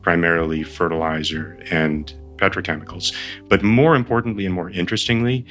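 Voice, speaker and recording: A man's voice: sits low, steady tone, a little fast, fairly bright tone, faint music behind. A man in his fifties from the Boston area, whose first language is Armenian, talking thoughtfully as an interview guest with frequent fillers and restarts. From Boston area, United States. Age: 50s. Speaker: guest, company founder. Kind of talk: relaxed interview conversation.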